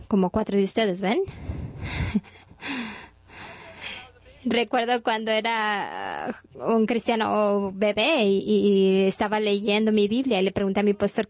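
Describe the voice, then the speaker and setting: A woman's voice: medium pitch, darkish tone, no echo. Woman preaching in a dry close sound. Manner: conversational storytelling, frequent restarts. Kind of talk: sermon.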